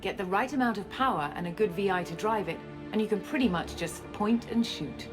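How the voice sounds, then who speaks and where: A woman playing a guarded, self-assured scientist and space operative in a video game, explaining things in a measured way. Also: medium pitch, lightly acted. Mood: composed, explaining. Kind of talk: video game cutscene acting. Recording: music under the dialogue.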